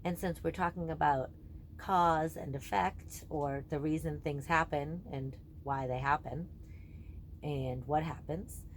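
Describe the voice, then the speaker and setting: Low-pitched, slow-paced woman teaching children. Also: a little hiss or hum. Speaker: teacher introducing a guest reader. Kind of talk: instructional introduction to a read-aloud for children.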